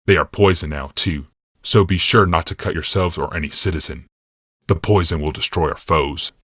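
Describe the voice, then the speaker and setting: Guy doing a really deep commanding ruler for an audiobook, calm, measured, kinda smug. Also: slightly rough, no echo, studio quality. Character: imperious male military ruler, calm, calculating, gently mocking. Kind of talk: audiobook character dialogue.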